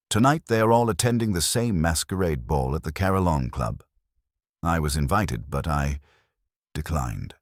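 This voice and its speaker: A man voicing an anxious, guarded high-society woman in an audiobook mystery, speaking earnestly and formally with a faltering manner. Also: no echo, studio quality, heavily acted. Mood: reluctant.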